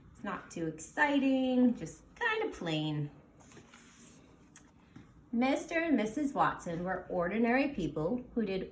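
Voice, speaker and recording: A woman reading a children's storybook aloud in an animated way, pausing to explain things simply to young listeners. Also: medium pitch, a little hiss or hum. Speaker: storybook reader. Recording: roomy, echoing sound.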